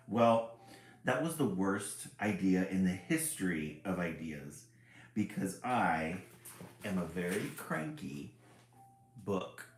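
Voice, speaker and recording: A man voicing a cranky, fed-up talking children's book that orders readers to go away, in a gruff, bossy way. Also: sits low, echoey room, full character voice.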